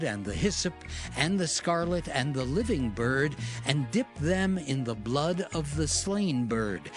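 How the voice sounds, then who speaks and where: A man reading scripture as a narrator in a measured, formal way. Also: medium pitch. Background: background music.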